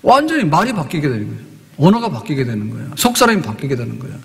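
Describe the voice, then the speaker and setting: Preaching pastor in a reverberant church. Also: male voice, fairly high pitch, wildly swooping pitch, rapid-fire, creaky. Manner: asks the congregation questions, emphatic. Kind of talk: sermon. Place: church sanctuary.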